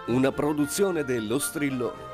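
A man's voice: mid-range voice, a little slow, voice pushed down, close to an everyday voice. A man playing a solemn, mock-grand announcer narrating the scripted intro of a radio drama. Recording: loud violin music over the voice.

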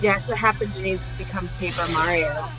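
A woman's voice: on the low side, fairly quick, music in the background, low-quality recording. A woman podcast host making casual, quippy jokes while watching a film. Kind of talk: casual movie reaction commentary. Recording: film soundtrack playing behind.

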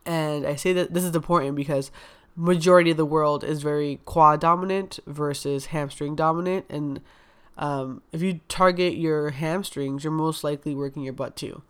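A young woman wellness coach talking casually and frankly, with a stuffed-up nose, full of "like" and "um". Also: deep voice. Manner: chatty, frank, heavy use of "like" and "um". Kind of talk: casual podcast talk.

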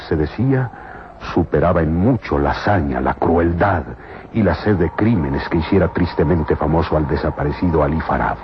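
A man's voice: deep voice, background noise. A man narrating a radio adventure serial in a solemn, theatrical scripted style. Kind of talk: dramatic scripted narration in Spanish. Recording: muffled old radio drama, loud music.

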